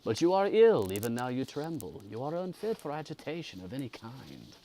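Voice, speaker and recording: Man putting on a courteous French gentleman, raised pitch. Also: huge pitch swings, brisk, poor audio. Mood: gentle concern. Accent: put-on French. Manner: formal, elaborate phrasing. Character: courteous, sympathetic French gentleman in a gothic novel.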